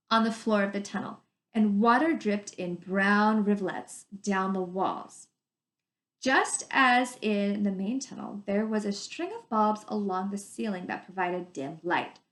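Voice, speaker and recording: Bright-voiced woman teacher reading aloud. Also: mid-range voice. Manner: animated, emphatic, stretches descriptive words. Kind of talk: expressive storybook read-aloud with commentary to children. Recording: echoey room sound.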